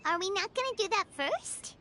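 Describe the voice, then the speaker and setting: A woman's voice: very high-pitched, expressive, normal speed, loud music behind the voice. A woman voicing a tiny floating girlish companion creature in a video game, bouncy and eager, who refers to herself by name.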